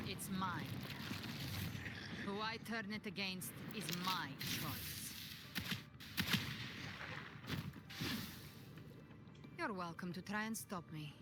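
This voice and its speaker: A woman playing a brooding, defiant monster-hunting avenger in a video game, speaking in a deliberate, grave and cryptic way. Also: lowish voice, heavy background noise, close to an everyday voice. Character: brooding, defiant female avenger who hunts dark monsters. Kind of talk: dramatic scripted game dialogue. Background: prominent background music.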